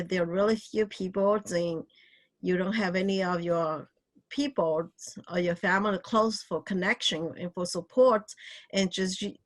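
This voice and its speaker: A woman's voice: mid-range voice, a bit bright. A woman on a panel discussion who speaks while searching for words, with non-native grammar. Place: video call.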